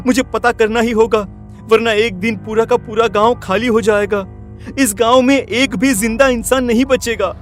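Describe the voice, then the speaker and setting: A man acts an anguished young villager with a high voice. He is earnest and halting yet determined, in horror-story style with faint music. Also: fairly even intonation, fairly bright tone. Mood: grieving, resolved.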